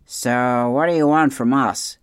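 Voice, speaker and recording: A reader voicing a sly, demanding, hungry fox from a storybook in a gruff, mocking manner. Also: mid-range voice, very animated, a little slow, a little mellow, no echo, clean studio sound, pitched above the performer's own voice. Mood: demanding, scornful.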